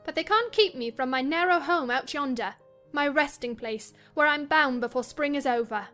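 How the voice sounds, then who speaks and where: A woman's voice: high-pitched, normal speed, sharp tone, voice pushed up. A woman voicing a passionate, delirious, headstrong young wife with a scornful, commanding, dramatic manner in a classic novel reading. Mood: bitter, feverish. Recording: music behind the voice.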